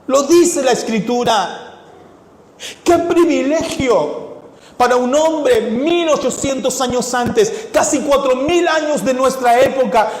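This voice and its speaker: Man preaching, high-pitched, mixed Latin American accent, fervent, recorded in a reverberant church hall. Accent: mixed Ecuadorian, Chilean and Mexican Spanish. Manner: preaching with rhetorical questions, rising to fervent shouts. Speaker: pastor. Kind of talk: sermon. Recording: echoey hall with long reverb.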